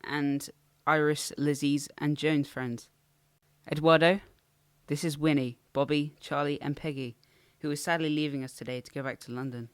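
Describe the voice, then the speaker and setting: Man acting young wartime son, sociable, slightly high voice, dry sound, audio play. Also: little pitch movement, moderate pace, clean tone, no echo, close to an everyday voice. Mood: cheerful, introducing.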